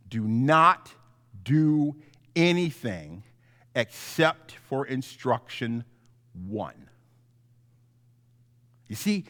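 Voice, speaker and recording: Male children's pastor, higher-pitched voice, theatrical story-time delivery with stretched emphasis, long hall reverb. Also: fairly bright tone. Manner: theatrical, drawn-out emphasis on key words. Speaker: pastor telling stories to children. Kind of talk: animated children's story time, sermon-like lesson.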